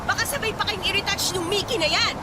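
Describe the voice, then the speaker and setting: A woman's voice: very high voice, fast talker, a loud crowd, very noisy. A woman playing a jealous, suspicious girlfriend in a radio drama, accusing, sarcastic and emotional. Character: jealous, suspicious, volatile girlfriend interrogating her partner. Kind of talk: Tagalog radio drama acting.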